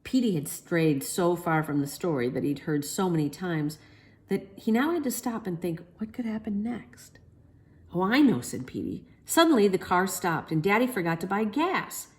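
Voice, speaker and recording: A woman's voice: lowish voice, animated, normal speed. A woman storyteller reading a children's storybook expressively.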